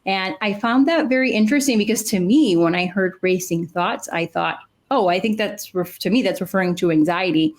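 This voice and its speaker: A woman's voice: mid-range voice, fairly quick, hardly any pauses. A woman in her forties co-hosting a chat show, explaining with lots of "uh" fillers. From Cuban and Dominican background. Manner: many "uh" fillers, earnest explaining. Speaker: podcast co-host, clinical psychologist. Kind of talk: casual chat.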